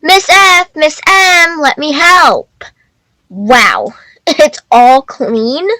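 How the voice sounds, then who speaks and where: A woman's voice: sits high, lively intonation, extremely slow, pushed and bright, no echo, heavily acted. A woman reading a sweet, earnest, overworked young stepdaughter in a readers theater play. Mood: amazed.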